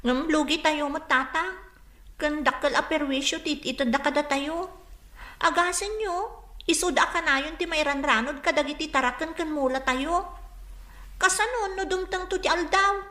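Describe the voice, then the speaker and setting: Bright, high-voiced young daughter. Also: female voice, moderate pace, reverberant. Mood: worried. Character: young dutiful daughter, earnest and worried about the family. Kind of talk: radio drama acting.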